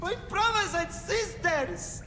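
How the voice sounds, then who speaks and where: A man's voice: very high voice, lively intonation, slow pace, full character voice. A man playing a theatrical, fanatical devotee of the gods in a video game cutscene. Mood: ecstatic, fervent. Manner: theatrical, grandiose. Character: fanatical male devotee seeking eternity among the gods. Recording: long hall reverb under loud music.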